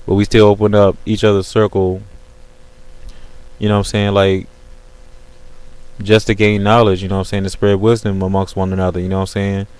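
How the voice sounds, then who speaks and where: A man's voice: lowish voice, little pitch movement, a little fast, long pauses, darkish tone, clean tone, low-quality recording. A man chatting casually on a webcam call in a slangy way, often repeating filler phrases as he makes his point. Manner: slangy, repeats "you know what I'm saying". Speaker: host of an online video call. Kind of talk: casual chat.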